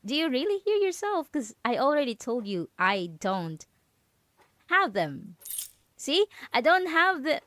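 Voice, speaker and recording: Woman doing a creepy possessive yandere roommate girl, super expressive pitch, drawn out teasing, condescending, asmr roleplay. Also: unhurried, no echo, exaggerated voice. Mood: teasing, mocking. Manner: teasing, condescending, lingering on words. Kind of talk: dramatic ASMR roleplay monologue.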